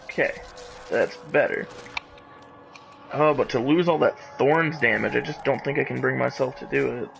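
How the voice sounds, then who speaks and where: A man with a gravelly, creaky voice chats casually as a game streamer. His delivery is jokey and mock-dramatic. Game music plays clearly behind him. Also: mid-range voice, moderate pace. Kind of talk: casual live gaming commentary. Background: video game music.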